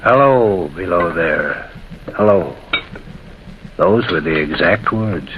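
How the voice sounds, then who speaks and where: An actor playing a haunted, frantic railway signalman in an old radio drama, halting and repeating himself in desperate pleading. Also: sits low, expressive. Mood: uneasy, probing. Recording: dull, hissy old radio recording.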